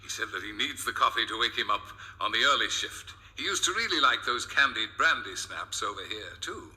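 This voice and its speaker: A man plays a gossipy woman behind a deli counter in a comic storybook. She is confiding and chatty, with a bright tone and lively pitch. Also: fairly quick. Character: chatty woman delicatessen shopkeeper, fond of a regular.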